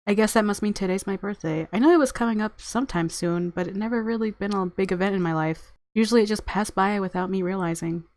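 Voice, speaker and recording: A woman's voice: mid-range voice, fast, few pauses, a little mellow, no room sound. Woman reading a visual novel aloud. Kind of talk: story narration with casual commentary.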